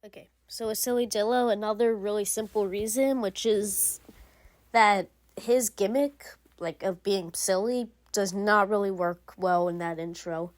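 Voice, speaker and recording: A woman's voice: mid-range voice, steady tone, measured pace, dry recording. Young woman rambling casually over gameplay. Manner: rambling, many fillers and restarts. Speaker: gaming channel host. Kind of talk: casual video game commentary.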